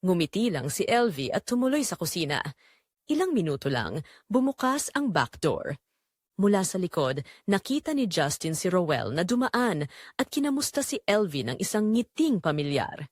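A woman reading scripted dramatic story narration in short, measured, suspenseful phrases. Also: on the low side, big pitch swings, dry recording, clean studio sound.

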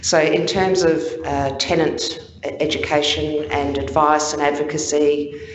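A woman's voice: low-pitched, level tone, unhurried, slight background noise. A woman, an advocate, making an earnest, persuasive deputation to a city council with frequent fillers. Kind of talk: formal address to council. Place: large ornate council chamber. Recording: echoey chamber with long reverb.

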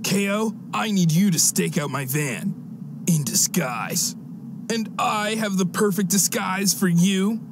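A man's voice: high voice, slow, creaky, over music, exaggerated voice. A man voicing a vain, boastful teenage alien slacker in a cartoon game, cocky and melodramatic. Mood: self-important.

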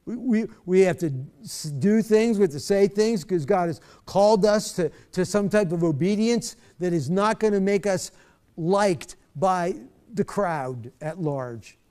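High-voiced pastor leading a bible study. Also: male voice, a little slow, warm tone, clear, resonant tone. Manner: conversational, repeats words while searching, chuckles often. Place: church stage.

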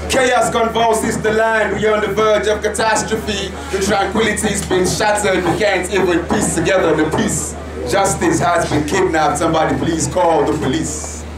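A man's voice: sits high, fairly even intonation, normal speed, over loud music. Impassioned Nigerian man performing spoken word. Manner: declamatory, rhythmic, impassioned delivery. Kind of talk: performance poetry recital. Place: indoor lounge venue with live audience. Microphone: handheld mic, far camera. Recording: roomy echo from far off, crowd behind.

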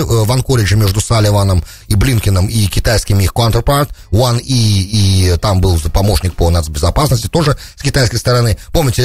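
A man's voice: mid-range voice, breathy edge, dry recording. A middle-aged man giving off-the-cuff political commentary as a radio host, close on a studio mic. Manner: peppers speech with English terms. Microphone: close studio broadcast mic on boom arm.

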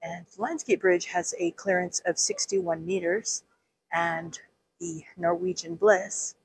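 A woman's voice: lowish voice, steady tone, takes it slowly, husky, no room sound, rough recording. Chatty woman vlogging outdoors by phone. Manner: chatty, many ums and restarts. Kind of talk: casual walking vlog. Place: outdoors on a city pier. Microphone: phone at arm's length.

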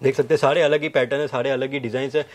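A man in his thirties giving a sales pitch to camera, listing things with repetitive emphasis. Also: fairly even intonation, racing. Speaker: fabric manufacturer's representative presenting stock. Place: fabric showroom indoors. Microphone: clip-on wireless lapel mic.

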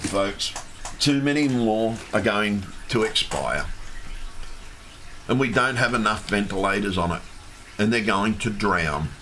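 A man, hoarse and losing his voice, ranting in an agitated, repetitive livestream monologue with some swearing. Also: lowish voice, sharp tone, poor audio. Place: home room with fish tank. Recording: faint background hum from fish tank.

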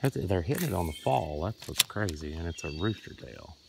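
Man, creek fishing vlog, low gravelly voice, handheld camera outdoors. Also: fairly quick. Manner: relaxed, chuckling, talks to viewers while casting. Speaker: fishing vlogger. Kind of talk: casual outdoor commentary. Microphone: handheld camera, point of view. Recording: outdoor camera audio with insect noise. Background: crickets and insects.

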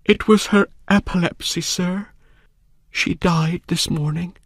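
A man's voice: high-pitched, steady tone, slow, fairly bright tone, no room sound, clean studio sound, pitched above the performer's own voice, heavily acted. A man voicing a tearful, deferential servant girl with grave news, in a story reading.

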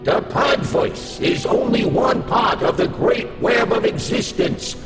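An actor playing a cold, grandiose alien hive leader in a sci-fi audio drama, proclaiming in a commanding, declamatory way. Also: male voice, very high-pitched, lively intonation, slow pace, full character voice. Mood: disdainful, preaching. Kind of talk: sci-fi radio drama acting. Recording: echoey hall reverb over music.

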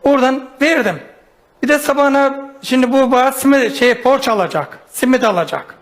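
A man's voice: very high-pitched, fairly quick. A man speaking as a talk show guest, defensive and rambling, stammering and stubbornly repeating himself.

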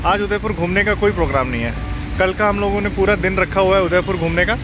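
Man, middle-aged, indian accent, relaxed matter-of-fact narration, music behind. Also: high voice, fast. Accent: Indian English. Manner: relaxed, matter-of-fact, mixes Hindi and English. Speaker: travel vlogger. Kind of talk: casual travel narration. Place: roadside stop outdoors and in a car. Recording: music playing under the voice.

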